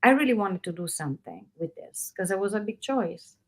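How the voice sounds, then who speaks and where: A middle-aged woman from Italy with an Italian accent, speaking in a relaxed, animated way with many fillers as a guest in an interview. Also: mid-range voice, fairly quick. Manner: animated, loose run-on phrasing with frequent fillers. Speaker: guest, actress. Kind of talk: casual interview conversation.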